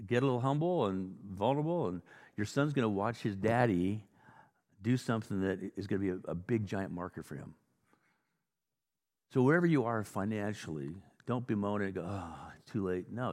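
Low-voiced American preacher in a reverberant hall. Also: male voice, darkish tone. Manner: informal, acts out dialogue, exhorting. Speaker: pastor preaching. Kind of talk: conversational sermon with anecdotes.

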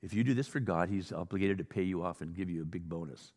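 Male American pastor, lowish voice, informal storytelling sermon style, recorded in a big reverberant space. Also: fast, darkish tone. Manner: informal, acts out dialogue, exhorting. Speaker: pastor preaching. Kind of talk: conversational sermon with anecdotes. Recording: reverberant hall.

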